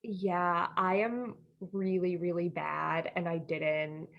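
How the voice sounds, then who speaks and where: A woman's voice: on the low side, steady tone, slow, gravelly, muffled recording, slight background noise. A young American woman co-hosting a podcast in casual chat, informal and self-deprecating. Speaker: podcast co-host.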